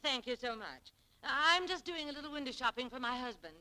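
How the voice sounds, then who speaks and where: A woman's voice: high voice, lively intonation, brisk, sharp tone. An actress playing a polite, breezy married lady shopper, genteel, in 1940s radio style.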